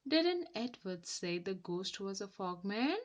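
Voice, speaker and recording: A woman acts out an anxious male steam engine in a storybook, grumbly and blustering. The delivery is slow, with pitch that swings about in a lively way. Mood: fearful. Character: nervous, grumbling male steam engine who fears ghosts.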